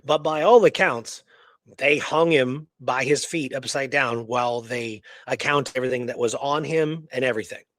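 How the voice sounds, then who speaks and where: A man's voice: medium pitch, moderate pace, fairly bright tone, no echo. A man co-hosting a radio show, casually telling historical research and often checking in with "right?" and "you know".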